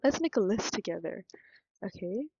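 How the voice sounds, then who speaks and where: A woman's voice: medium pitch, animated, normal speed, creaky, darkish tone, husky, no echo, lo-fi sound. A young woman from Singapore with a Singaporean accent, thinking aloud in a rambling, frank vlog monologue. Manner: meandering, thinks aloud, self-interrupting, frank. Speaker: vlogger.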